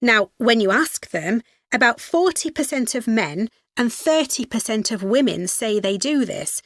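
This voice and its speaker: Female university student, scripted study discussion, matter-of-fact, highish voice, close dry sound. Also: expressive, a little slow, fairly bright tone, dry recording, heavily acted. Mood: studious, matter-of-fact. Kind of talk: scripted educational dialogue.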